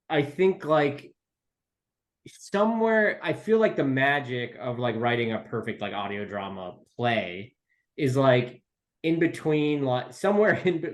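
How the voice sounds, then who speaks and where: Male podcast guest, medium pitch, casual and searching, rephrases as he goes. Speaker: podcast guest, audio drama writer. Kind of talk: casual interview talk.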